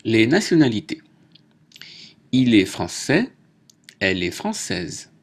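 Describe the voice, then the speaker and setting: A man teaching a French lesson, reading out names, nationalities and exercises slowly and deliberately. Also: fairly low pitch, big pitch swings, vocal fry, slightly rough. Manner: slow, deliberate dictation. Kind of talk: slow lesson narration.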